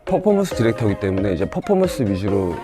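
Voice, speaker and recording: Rapid, hesitant man with filler pauses. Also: lowish voice, sing-song. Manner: hesitant, many drawn-out fillers. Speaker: performance director and choreographer. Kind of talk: interview answers. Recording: music playing underneath.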